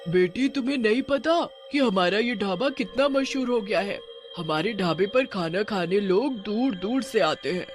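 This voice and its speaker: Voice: medium pitch, animated, unhurried, soft background music, lightly acted. A man voicing a vengeful witch mother who runs a food stall, in a theatrical, commanding cartoon horror style. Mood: proud. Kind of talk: Hindi animated horror story dialogue.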